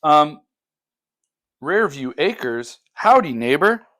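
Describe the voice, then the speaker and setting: A man's voice: fairly high pitch, very animated, slow, bright, forceful tone. A man talking casually and unscripted as a book vlogger, thinking aloud in broken, conversational phrases. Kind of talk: casual unscripted talk to viewers.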